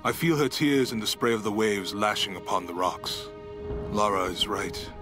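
A solemn mystic, played by a man, broods through a game journal over faint music. His voice is fairly low, poetic and haunted. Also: steady tone, moderate pace, close to an everyday voice. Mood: grim resignation. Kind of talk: dramatic game voice acting, monologue.

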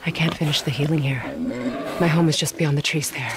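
A woman's voice: sits low, a little fast, background noise, lightly acted. A woman playing a firm, protective forest witch and healer in a video game, giving commands with urgency. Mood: urgent, commanding.

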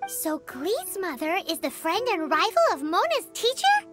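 A chirpy tiny fairy companion. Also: female voice, extremely high pitch, sing-song, unhurried, exaggerated voice. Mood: curious. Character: tiny floating female fairy companion, chatty. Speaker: game character. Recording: game audio with soft music.

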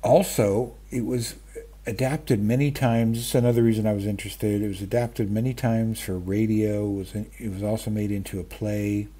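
A man in his fifties or older chatting casually about stories, halting and interrupting himself in a self-deprecating way, with a husky voice. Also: lowish voice, fairly even intonation, mellow. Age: 50s or older. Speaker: book vlogger. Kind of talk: casual rambling chat about stories and radio. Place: small room at home, indoors. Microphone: webcam framing, wearing wired earphones.

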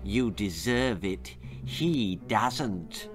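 A man's voice: on the low side, lively intonation, soft background music, pitched below the performer's own voice. Man voicing a sly, drawling worm of envy. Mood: sly, goading. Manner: insinuating, coaxing, drawn-out delivery. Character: sly, persuasive little green worm of envy, whispering flattery.

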